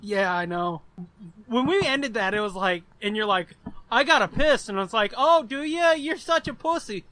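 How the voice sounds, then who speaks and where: A man's voice: sits high, lively intonation, moderate pace, sharp tone, rough recording. A man co-hosting a podcast in casual chat, crude and swearing, laughing often. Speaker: podcast co-host.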